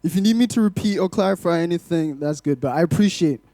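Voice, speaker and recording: A man in his twenties addresses a congregation as a testimony speaker and poet. His voice sits a little high yet is relaxed and warm in tone, with very little room sound. He phrases things in a measured, rhythmic way. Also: dry recording. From Glasgow, Scotland, born in Malawi. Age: 20s. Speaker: church guest speaker giving testimony, poet. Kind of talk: rhythmic spoken-word poetry in places.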